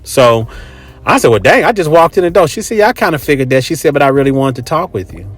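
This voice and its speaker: A man's voice: a little high, very animated, fast, no echo, soft background music. A middle-aged man telling stories in a casual monologue, talking in a blunt, emphatic, conversational way. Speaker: host.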